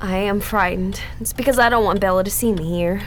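A woman's voice: mid-range voice, a little fast, a little hiss or hum, close to an everyday voice. An actress playing a frightened, sugary Southern married woman in a radio drama, with a folksy, hesitant way of talking. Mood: anxious. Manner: fluttery, folksy, hesitant stammer. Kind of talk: old-time radio drama acting.

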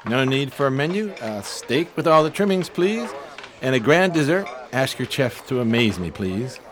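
An actor playing a grandiloquent, gentlemanly homeless con man in a 1920s New York radio play, speaking in florid, over-polite phrases with a pompous theatrical manner. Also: medium pitch, sing-song, moderate pace, a little hiss or hum, natural voice. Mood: hurried, imperious.

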